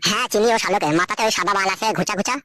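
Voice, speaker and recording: A woman's voice: mid-range voice, rapid-fire, no room sound, close to an everyday voice. A woman playing a surly, scheming young village man who grumbles crudely in an amateur cartoon comedy. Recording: loud background noise.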